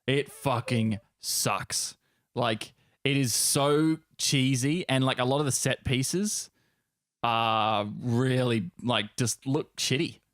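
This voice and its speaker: A man's voice: mid-range voice, slow, a bit bright, no echo, clean studio sound. Blunt, sweary man chatting casually. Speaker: podcast co-host. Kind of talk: casual chat.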